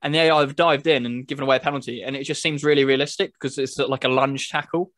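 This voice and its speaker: A man's voice: medium pitch, fairly even intonation, quick pace, a bit bright, no echo. Man chatting, very dry close sound. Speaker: podcast host presenting a game review video. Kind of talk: casual chat.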